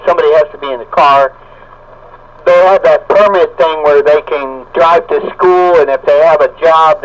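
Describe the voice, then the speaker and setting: A middle-aged man, chatting bluntly and casually in folksy words over CB radio. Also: fairly high pitch. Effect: CB radio transmission. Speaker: amateur CB radio operator. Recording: muffled lo-fi CB radio signal.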